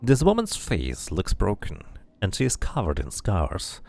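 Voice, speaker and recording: A man streaming a game, mixing casual commentary with expressive read-aloud narration. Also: sits low, unhurried, gravelly.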